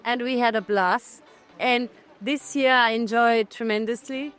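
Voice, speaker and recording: A middle-aged woman from Austria, a documentary filmmaker answering festival interview questions in a thoughtful way, pausing and restarting as she looks for words. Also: a little high, steady tone, fairly bright tone, soft background music.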